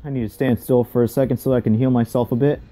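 A man's voice: mid-range voice, steady tone, fast, mellow. A man casually commenting on a horror game as he plays it, thinking aloud in murmured asides. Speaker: gaming channel host. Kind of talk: casual let's play commentary.

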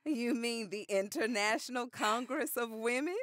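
A woman's voice: fairly high pitch, moderate pace, a bit bright, dry recording, studio quality, close to an everyday voice. An actress playing a poised, formidable older activist lady of the 1910s with formal, precise diction in a radio drama. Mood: gracious. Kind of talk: period radio drama acting.

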